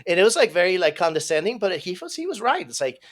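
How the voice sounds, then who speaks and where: A man in his thirties, raised in Venezuela, telling his life story as a podcast guest in a casual, profane, animated way. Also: high voice, fast talker. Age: 30s.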